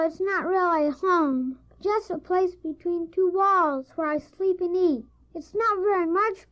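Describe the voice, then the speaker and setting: A very high, young voice playing a polite, honest, lonesome orphan newsboy in an audio drama. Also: exaggerated voice. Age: child character. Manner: earnest, plain-spoken, polite. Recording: dull, noisy old cassette tape.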